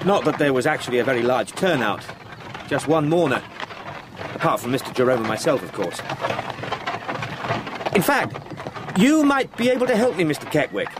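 Eager, high-voiced young English gentleman. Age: young adult. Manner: formal, polite, hesitant asides. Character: eager, talkative, polite young English solicitor. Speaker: radio drama actor. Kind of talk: radio drama acting. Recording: noisy outdoor sound-effect background.

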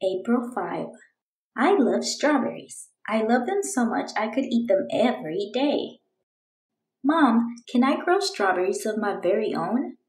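A woman voicing an eager, determined young girl reading out her storybook diary in an earnest, expressive way. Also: on the high side, a little slow, reverberant.

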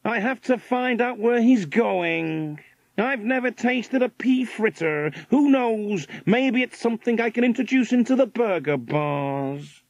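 A man's voice: high-pitched, expressive, unhurried, creaky, no room sound, muffled recording, voice pushed up, heavily acted. A man voicing a big, brash American burger millionaire, eager and emphatic, in a children's story.

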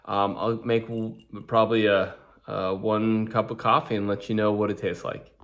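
A man's voice: lowish voice, little pitch movement, normal speed, highs cut off, background noise. A man, casually reviewing coffee in his home kitchen and rambling as he works, recorded on a phone camera. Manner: rambling, restarts often, talks while working.

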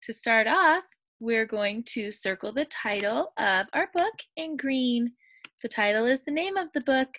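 A middle-aged woman teacher reading a picture book aloud to children over a webcam, with sing-song, expressive storybook delivery and excited squeals. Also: fairly high pitch, measured pace, no echo. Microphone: webcam on a computer.

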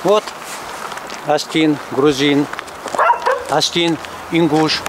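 A man's voice: on the high side, steady tone, extremely slow. A middle-aged man in a mountain village talking plainly to an interviewer, going back over memories and repeating himself as he tells them. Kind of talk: casual outdoor interview. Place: outdoors in a mountain village by a road. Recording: outdoor noise, passing traffic.

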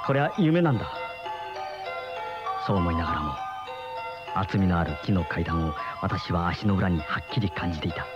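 An actor playing an uneasy middle-aged husband in a radio mystery, with brooding, dramatic storytelling. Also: male voice, sits low, animated, normal speed, pauses often. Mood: brooding, dreamy. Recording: muffled old radio drama recording, music behind.

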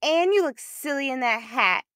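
A woman as a high-pitched, taunting schoolgirl. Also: animated, moderate pace, fairly bright tone, clear, resonant tone, no room sound, clean studio sound.

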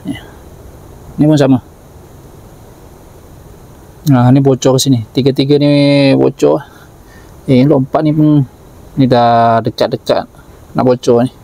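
Man, Malaysian Malay accent, mechanic, mellow tone, gravelly, workshop, phone camera. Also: mid-range voice, fairly even intonation, a little slow, pauses often, low-quality recording. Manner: plain, matter-of-fact, explains while working. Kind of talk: casual work commentary.

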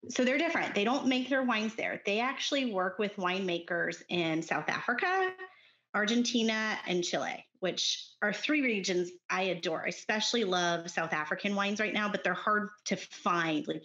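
A woman's voice: mid-range voice, animated, moderate pace, bright, forceful tone, lo-fi sound. A middle-aged woman from Texas with a Texan accent, chatting casually and enthusiastically as a podcast guest, with frequent ums and laughs. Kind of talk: casual chat.

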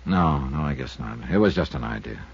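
A man's voice: very deep voice, lively intonation, fairly quick, breathy edge, no room sound, exaggerated voice. An actor playing a hard-boiled male insurance investigator in a 1950s radio drama, dry, wisecracking and probing. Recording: muffled old radio recording, dry.

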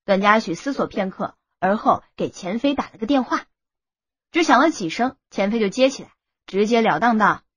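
A woman's voice: mid-range voice, animated, normal speed, husky, no room sound. A woman reading a novel aloud as an audiobook narrator in scripted style. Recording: music bed under the voice.

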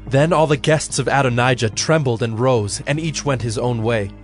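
A man reading scripted narration for a dramatized Bible in a measured storytelling manner. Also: medium pitch. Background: prominent music.

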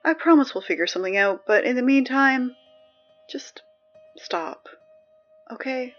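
A woman's voice: high-pitched, expressive, measured pace. Woman playing chatty school announcer girl in an audio drama, kinda exasperated and wry, slightly muffled sound w music under it. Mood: exasperated pleading. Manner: conversational, wry, hesitant asides.

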